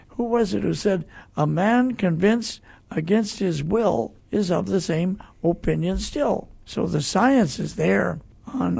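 An American man hosting a religious talk radio show in a folksy, earnest, conversational way, with a gravelly, husky voice. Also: sits high, a little mellow. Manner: folksy, earnest, addresses listeners directly.

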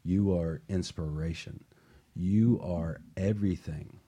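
Very deep, dark-voiced husky man. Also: takes it slowly. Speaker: radio show host. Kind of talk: motivational talk show discussion.

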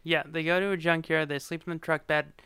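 An American man talks casually as a podcast co-host, with a clean, smooth voice. He is sarcastic and mock-exasperated about the film. The recording is dry and close. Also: a little high, little pitch movement, brisk, sharp tone, no room sound. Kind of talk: casual chat.

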